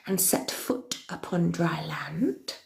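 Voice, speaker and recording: A woman acts out an ancient, villainous queen of darkness who addresses her followers in a slow, theatrical murmur. Her pitch swoops widely from phrase to phrase, often sinking into a breathy whisper. The voice carries a roomy echo. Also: lively intonation, slight background noise, full character voice. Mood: sinister, theatrical. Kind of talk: in-character chat with viewers.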